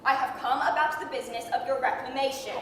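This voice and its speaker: A woman's voice: fairly even intonation, fast, fairly bright tone, far from the mic. A woman playing a shining, commanding spirit with a declamatory, imperious delivery in a 1930s radio drama style. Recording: very echoey hall with faint rumble.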